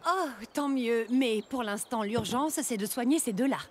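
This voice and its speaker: A woman's voice: on the high side, moderate pace, a little mellow, a little hiss or hum, natural voice. A woman voicing a caring, concerned tavern keeper in a children's cartoon, earnest and urgent. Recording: music behind the voice.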